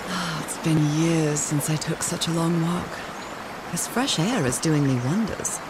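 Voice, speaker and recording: An actress playing a wistful, curious 1930s woman explorer in a video game, thinking aloud in a gentle, articulate way. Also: sits low, a little slow, very noisy. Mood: musing. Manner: gentle, articulate, musing, wonder-struck.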